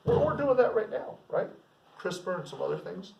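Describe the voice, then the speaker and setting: A man reading classic literature aloud like a teacher, with informal explanatory asides and an expressive manner. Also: high voice, moderate pace, gravelly, muffled recording. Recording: roomy echo, distant microphone.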